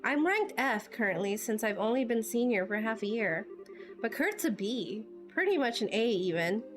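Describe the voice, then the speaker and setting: Woman acting a high-pitched, bubbly young female fighter. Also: moderate pace, over music, natural voice. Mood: chatty. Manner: perky, informal, expressive. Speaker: amateur voice actor playing through a game. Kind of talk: amateur game dialogue voice acting.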